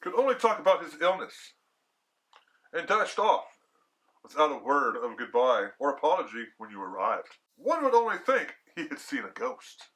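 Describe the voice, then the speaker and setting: A man voicing a brisk, cheerful, gushing hostess lady in a story reading. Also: a little high, sing-song, fairly bright tone, low-quality recording. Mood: indignant. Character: brisk, chattering middle-class hostess lady.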